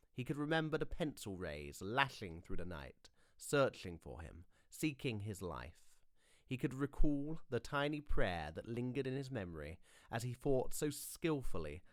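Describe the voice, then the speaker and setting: A man reading audiobook narration in a measured, dramatic storytelling manner. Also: fairly low pitch, no room sound, clean studio sound.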